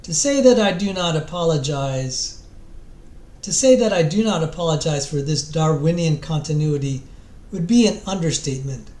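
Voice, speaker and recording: A man giving a mock-dramatic reading of a book introduction, theatrical and solemn, pausing grandly and sometimes repeating words. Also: a little high, expressive, warm tone, roomy sound, lightly acted.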